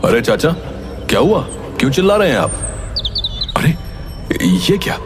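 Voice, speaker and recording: A man's voice: medium pitch, very animated, normal speed. A man giving dramatic voice to village characters and a teacher in a horror cartoon. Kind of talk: cartoon dialogue. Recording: over loud music.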